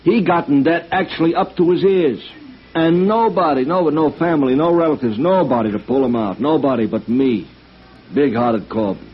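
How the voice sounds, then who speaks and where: An actor playing a shifty, self-pitying talent agent, slangy and defensive, in a 1950s radio noir drama. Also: male voice, on the high side, normal speed, slightly rough, highs cut off. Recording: noisy band-limited vintage radio recording.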